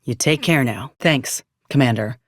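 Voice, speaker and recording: An actress voicing a frank, loyal frontier soldier in a video game. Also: female voice, extremely low pitch, a little slow, dry recording, clean studio sound. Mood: solemn, heartfelt. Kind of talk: scripted video game character dialogue.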